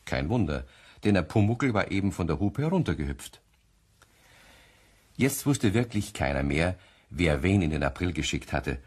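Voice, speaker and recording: Deep, husky male storyteller, very animated. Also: normal speed, long pauses. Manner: expressive storyteller. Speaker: narrator. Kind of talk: storytelling narration for a children's radio play.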